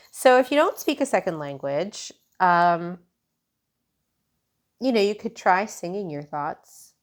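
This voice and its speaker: A woman giving a tarot reading in a loose, meandering way, full of fillers and restarts. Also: on the low side. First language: English. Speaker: tarot reader. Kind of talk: casual spiritual tarot reading.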